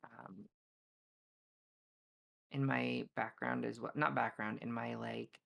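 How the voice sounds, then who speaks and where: A woman's voice: rumbling low, little pitch movement, slow pace, gravelly. A Canadian-born woman whose first language is Polish, chatting as a stream guest over a voice call, hesitant with many restarts. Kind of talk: casual chat.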